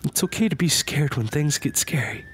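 A man's voice: a little high, expressive, moderate pace, close to an everyday voice. Kindly, weary prisoner acted by a man, noisy background. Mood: soothing. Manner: plain-spoken, soothing, reassuring. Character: kindly, weary adult male prisoner, protective. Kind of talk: audio drama acting.

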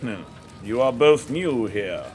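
Stern, commanding knight marshal. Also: male voice, mid-range voice, animated, slow pace, darkish tone, a little hiss or hum. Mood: measured greeting. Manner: authoritative, formal, contemptuous. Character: stern commanding Templar marshal, pious, ruthless. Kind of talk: historical audio drama acting.

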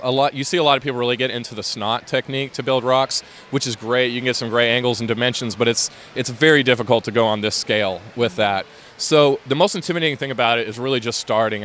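A man's voice: mid-range voice, a bit bright, a little hiss or hum. A man, talking casually about his LEGO build at a convention, with lots of fillers and restarts. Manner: rambling, many fillers and restarts. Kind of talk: casual interview. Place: convention hall, indoors.

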